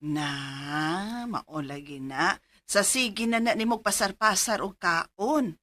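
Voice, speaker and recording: A woman's voice: mid-range voice, slow pace, bright, forceful tone, no room sound. An actress playing a middle-aged, fussy but caring mother in a Filipino radio drama, nagging expressively with a Cebuano accent. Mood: scolding, worried. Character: fussy, caring, scolding mother of grown son.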